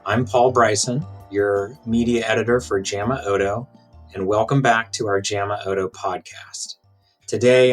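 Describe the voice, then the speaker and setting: A man's voice: fairly low pitch, low-quality recording. A man hosting an interview podcast, speaking in a conversational style as he introduces and questions guests.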